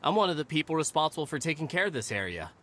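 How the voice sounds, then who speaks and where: A man's voice: on the high side, very animated, very fast, fairly bright tone, faint music behind. An actor playing an earnest, testy young male caretaker in a video game, fussy and articulate, with faint noise behind. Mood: earnest, polite.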